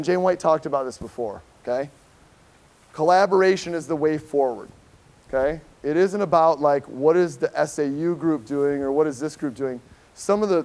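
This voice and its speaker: A middle-aged man with an American accent and a slightly high voice gives a conference talk. He speaks informally and with emphasis, like a professor with a class, often tagging his points with a questioning check-in. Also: darkish tone. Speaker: professor giving a conference keynote. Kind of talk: informal public lecture. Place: lecture hall, speaking to a seated audience.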